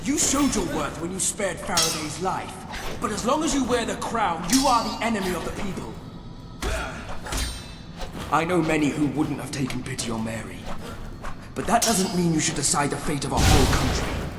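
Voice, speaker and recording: An actor playing a fanatical, scheming military commander in a video game, delivering grandiose, menacing speeches. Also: male voice, on the high side, expressive, moderate pace, close to an everyday voice. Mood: righteous, stern. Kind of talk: dramatic video game villain acting. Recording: echoey hall, distant, loud music behind.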